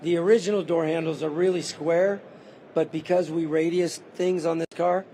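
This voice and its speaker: A car builder who is losing his voice, calmly giving a detailed walkaround of a custom car on a show floor. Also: fairly high pitch, normal speed, a little hiss or hum. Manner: detailed, matter-of-fact.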